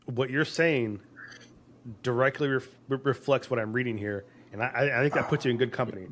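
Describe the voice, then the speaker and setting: A man co-hosting a casual movie podcast, excitable and quick to laugh. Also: medium pitch, noisy background. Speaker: podcast co-host. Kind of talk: casual chat.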